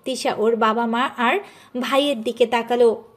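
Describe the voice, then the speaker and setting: A woman narrator with a high voice. She delivers scripted storytelling, carrying the tale between the acted dialogue. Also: fairly even intonation, moderate pace, faint music behind.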